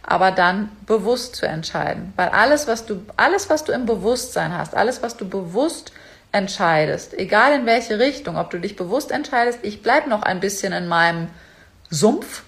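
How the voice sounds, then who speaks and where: A woman's voice: mid-range voice, expressive, normal speed. Female vlogger, casual coaching monologue, speaks straight to the viewer. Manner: chatty, direct, addresses viewer as du. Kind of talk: casual motivational talk to camera.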